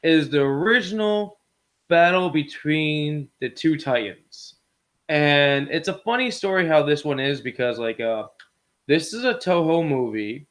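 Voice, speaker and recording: A man's voice: a little high, lively intonation, unhurried, a bit bright. A man co-hosting a casual movie chat, rambling informally and often searching for his words. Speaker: podcast co-host.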